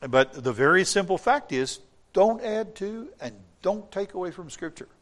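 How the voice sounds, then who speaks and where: Male pastor, emphatic sermon delivery, measured scripture reading. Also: high voice, animated, a bit bright. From from outside Australia. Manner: emphatic, repeats words when stressing a point; reads scripture aloud in measured tones.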